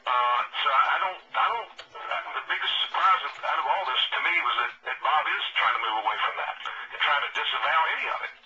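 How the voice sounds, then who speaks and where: A man's voice: fairly high pitch, expressive, fast talker, bright, forceful tone. A man making emphatic off-the-cuff remarks as a former politician on a conference call, often repeating words as he goes. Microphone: telephone conference call. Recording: muffled distant phone line, faint noise.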